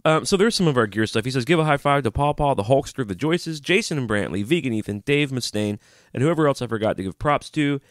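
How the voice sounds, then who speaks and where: A man's voice: medium pitch, expressive, fast, no room sound, clean studio sound. A man co-hosting a casual podcast with a stuffy nose, joking around and reading listener emails aloud. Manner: joking, breaks into singing. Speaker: podcast co-host. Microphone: studio microphone, preamp talk.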